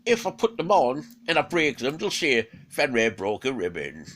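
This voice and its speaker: A man voicing a proud giant male wolf, wary and defiant, speaking high and loud. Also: huge pitch swings, normal speed, a little hiss or hum.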